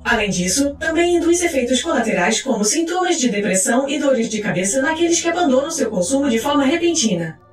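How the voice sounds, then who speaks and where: A woman narrator reading scripted case files in a formal, clinical, measured manner. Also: sits high, big pitch swings. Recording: roomy echo with music underneath. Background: music.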